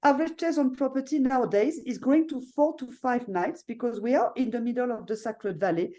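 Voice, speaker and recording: Woman, middle-aged, French accent, mellow tone, chatty, video call. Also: on the high side, fairly quick. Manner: chatty, frequent fillers and tag questions. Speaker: hotel owner presenting. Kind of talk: casual video call presentation.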